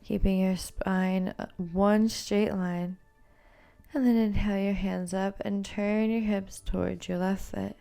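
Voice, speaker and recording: A woman leads a yoga class in a soft-edged, mellow voice with noticeable vocal fry. Her delivery is calm and very even in pitch, each instruction gently cued. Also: takes it slowly, soft background music. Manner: calm, soothing, gently cueing. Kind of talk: guided yoga and meditation instruction.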